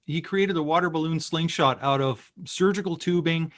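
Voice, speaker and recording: A man's voice: fairly high pitch, moderate pace, fairly bright tone, slight background noise. A man talking casually on a group call with a wry, storytelling manner. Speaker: narrator and participant in a script table read. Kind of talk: casual group chat after a table read. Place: indoors on a group video call.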